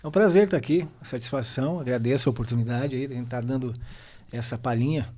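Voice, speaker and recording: A man's voice: mid-range voice, quick pace. Middle-aged man, storytelling. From western Santa Catarina, Brazil. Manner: relaxed storytelling, frequent tag questions. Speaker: guest. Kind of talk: casual podcast conversation.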